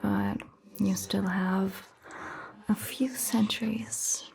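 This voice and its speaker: A woman performing a soft-spoken ASMR roleplay as a caring vampire doctor, whispering breathily in a gentle, reassuring manner. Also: medium pitch, little pitch movement, gravelly. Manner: gentle, reassuring, slow and deliberate.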